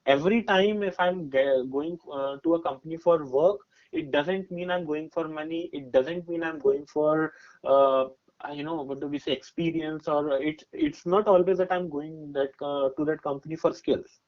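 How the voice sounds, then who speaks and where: A man's voice: on the high side, moderate pace. A man in his twenties with an Indian accent, giving career advice as a podcast guest in an emphatic, explanatory conversational way, often checking that he is followed. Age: 20s. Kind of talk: conversational advice with personal examples. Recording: dull, muffled lo-fi audio.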